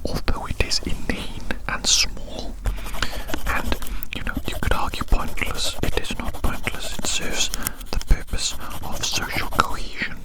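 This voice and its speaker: A man speaks entirely in a breathy whisper, right up against the microphone. He rambles reflectively in ASMR style, often repeating a phrase. Soft scratchy drawing sounds accompany his voice. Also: measured pace. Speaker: ASMR video creator. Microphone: very close ASMR microphone.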